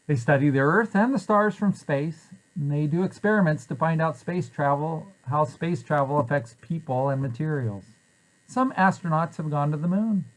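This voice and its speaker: A man's voice: fairly high pitch, rough recording. A man reading a children's picture book aloud in an explanatory way, posing questions and then answering them. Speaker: preschool site director reading aloud to children.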